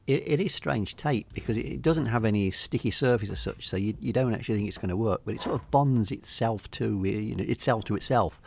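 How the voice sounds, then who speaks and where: A middle-aged man with an English accent giving a casual, unscripted voice-over walkthrough, hesitating and pausing often. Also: lowish voice, creaky, darkish tone. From England. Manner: hesitant, unscripted delivery with many pauses. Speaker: hobbyist video narrator.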